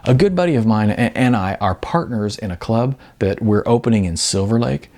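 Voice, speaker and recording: Man playing a laid-back, low-voiced young star. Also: expressive, a little mellow. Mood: friendly, offering. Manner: halting, casual, earnest.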